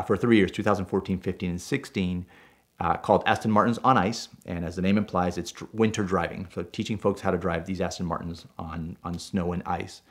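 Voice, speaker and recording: Deep-voiced male driving instructor, native Spanish speaker, animated casual storyteller.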